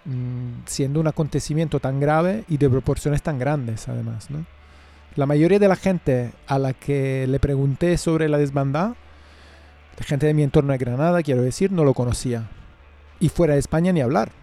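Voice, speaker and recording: A man's voice: mid-range voice, normal speed, gravelly, dark tone. A man from outside Spain presenting and interviewing on the radio.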